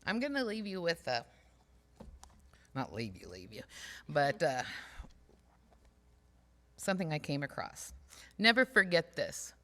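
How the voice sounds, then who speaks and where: A woman gives a heartfelt reflection in a reverberant church hall. Her voice is bright and fairly low, and it moves with a lot of melodic swing. She is earnest and halting, circling back over phrases to press them home. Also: big pitch swings. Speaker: speaker at a church service, community outreach worker. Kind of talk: informal, heartfelt sermon-like reflection to a congregation. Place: large church space during a livestreamed service.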